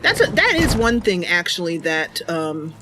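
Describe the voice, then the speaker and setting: A woman's voice: lowish voice, big pitch swings, a little slow, pushed and bright, faint music behind. A woman giving casual, playful commentary as host of a gaming stream. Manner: giggly, playful. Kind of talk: casual live gameplay commentary.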